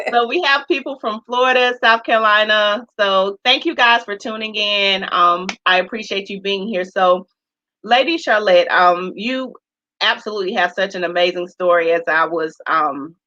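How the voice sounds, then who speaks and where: Interview host: woman, bright and forceful voice, affirming, heard on a dull lo-fi recording. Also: medium pitch, normal speed. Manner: warm-hearted, affirming, many "mm" and "wow". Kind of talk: casual interview. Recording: dull lo-fi sound.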